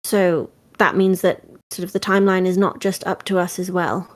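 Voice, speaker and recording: A woman's voice: fairly low pitch, steady tone, normal speed. A woman with a British accent, chatting casually on a livestream about game updates in a friendly, explanatory way.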